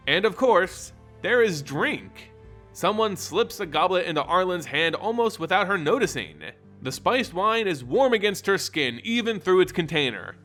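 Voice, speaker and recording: A man reading a fantasy story as an expressive, dramatic narrator with deliberate phrasing. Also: sits high.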